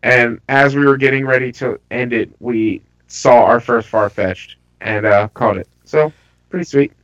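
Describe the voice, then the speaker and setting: A man with a stuffy, under-the-weather voice, chatting casually about games with halting restarts. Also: medium pitch, fairly bright tone. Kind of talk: casual gaming chat. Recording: slightly dull lo-fi audio.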